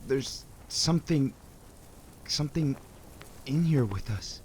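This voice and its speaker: A man acting out a haunted captive of a cursed corn maze, his pitch leaping up and plunging down as he warns of an unseen hunter. The radio-play voice sits at an ordinary middle pitch, with a faint hiss of noise behind it. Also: takes it slowly. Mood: fearful. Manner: panicked warnings that turn to eerie calm.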